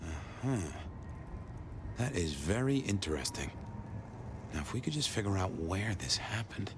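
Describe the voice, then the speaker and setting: Low wry detective in a video game, cool and chatty, noisy ambience behind. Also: male voice, very animated, a little slow. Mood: wry, polite. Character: smooth-talking male detective, wry, probing and casually polite. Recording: clear background ambient noise.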